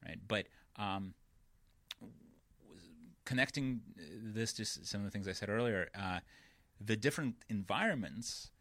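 A man's voice: on the low side, animated, a little slow, fairly bright tone, no room sound. A man, a guest on a podcast, explaining ideas patiently and often checking in with "right?" and "you know". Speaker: guest researcher. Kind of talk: explanatory interview talk.

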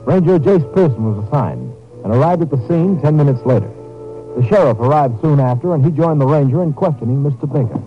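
An actor as a formal announcer, giving factual scripted narration for a 1950s radio drama. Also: male voice, on the high side, animated, a little fast, lightly acted. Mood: solemn, factual. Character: formal, factual male case-report announcer. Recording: dull 1950s radio recording, music.

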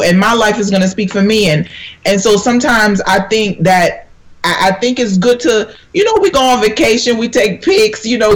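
Earnest preaching woman, high voice. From Jamaica. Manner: earnest, sermon-like, quotes scripture. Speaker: minister, guest. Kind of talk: conversational panel talk with preaching.

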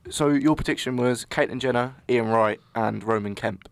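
A man's voice: mid-range voice, normal speed, no room sound. A young man co-hosting a casual podcast chat, making dry, matter-of-fact remarks. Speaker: podcast co-host.

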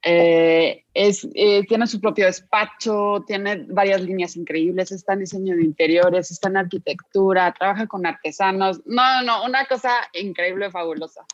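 A woman's voice: mid-range voice, normal speed, few pauses, no room sound, lo-fi sound. A woman from Mexico with a Mexican accent, co-hosting a podcast and introducing a guest with gushing praise. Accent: Mexican Spanish. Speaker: podcast co-host. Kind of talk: enthusiastic introduction.

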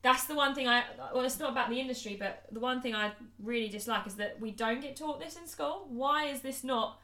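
A British woman with a fairly high voice talks in an echoey room. She is a fitness coach in a casual podcast conversation, chatty and earnest, with informal phrasing. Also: fairly quick, sharp tone. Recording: roomy, echoey room sound.

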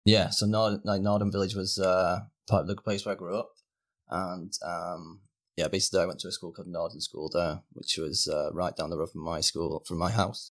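Casting note: young Mancunian guy, very low voice, easygoing guest explaining things. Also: little pitch movement, moderate pace. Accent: Northern English, Manchester area. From England. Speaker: podcast guest. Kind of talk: casual conversation.

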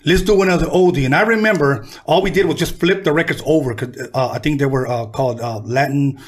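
La guy in his 50s hosting radio chat, kinda high husky voice, swapping old stories. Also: quick pace. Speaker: radio interviewer, music producer. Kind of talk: casual chat, anecdotes.

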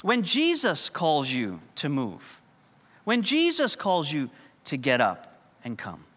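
A man's voice: fairly high pitch, wildly swooping pitch, slow, a bit bright. New pastor guy preaching, chatty and jokey, voice rings out in a big hall. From New York. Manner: conversational, humorous storytelling. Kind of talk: sermon. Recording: long hall reverb.

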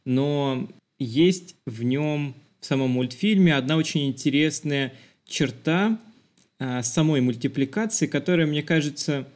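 A man's voice: on the high side, unhurried, darkish tone. A man co-hosting a podcast, discussing film in a thoughtful, explanatory conversational way. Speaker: podcast co-host.

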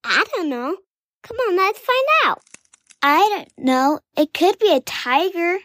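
A woman's voice: very high voice, sing-song, a little slow, a bit bright, dry recording, voice pushed up, full character voice. A woman voicing an eager, childlike young animal cub in a children's story. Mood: uneasy. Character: excitable young wild animal cubs.

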